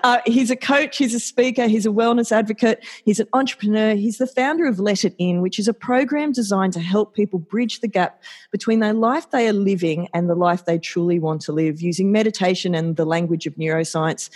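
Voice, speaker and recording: A woman's voice: mid-range voice, a little fast. A woman hosting an interview, introducing her guest enthusiastically and asking questions. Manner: enthusiastic, lively, playful. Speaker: podcast host.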